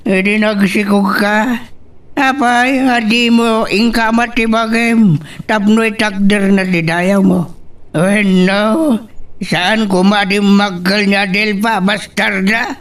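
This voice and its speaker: A man's voice: high-pitched, steady tone, slow, a bit bright, recorded from a distance. A man playing a reproachful, weighty elderly father in an Ilocano radio love drama.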